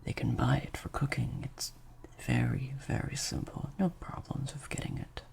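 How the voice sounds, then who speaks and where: A man acts out a sadistic vampire master in an intimate audio roleplay. His voice is low and heavily creaky, often slipping into a whisper. He draws out his words slowly, taunting and praising with menacing softness, the pitch swinging expressively. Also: wildly swooping pitch, exaggerated voice. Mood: cold, menacing. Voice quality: breathy, creaky, mostly whispered. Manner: slow, drawn-out, softly taunting. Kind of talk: improvised ASMR-style audio roleplay.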